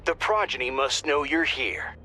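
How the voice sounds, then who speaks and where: Wry male support officer on comms, bright tone, game score low. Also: lively intonation, normal speed, a little hiss or hum. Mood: informal. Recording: game audio with faint music.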